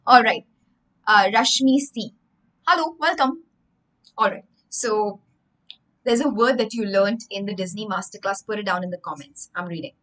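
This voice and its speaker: A young woman, telling a story to children as a livestream English lesson, theatrical and emphatic, pausing to explain words. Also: mid-range voice, a bit bright, rough recording. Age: young adult. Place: indoor room with bookshelves.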